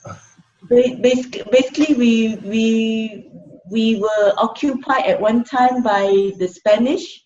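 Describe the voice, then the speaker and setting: A woman's voice: a little high, fairly even intonation, slow pace, a little mellow. A woman with a Malaysian accent making casual impromptu remarks, hesitant and repeating her words. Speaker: meeting participant. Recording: muffled, echoey, noisy video call.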